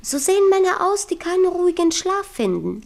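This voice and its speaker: An actress in a 1970s German radio play playing a pert, gossipy servant, deferential and quick. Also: female voice, extremely high pitch, big pitch swings, gravelly, a little mellow, exaggerated voice. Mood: pert, eager. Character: pert, gossipy servant announcing a noble visitor.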